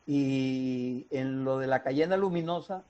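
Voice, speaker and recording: Muffled, mellow Colombian Caribbean man, deliberate. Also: mid-range voice. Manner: didactic, deliberate, with pauses. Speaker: radio drama writer and mentor. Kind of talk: explanatory interview talk. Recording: dull, muffled lo-fi sound.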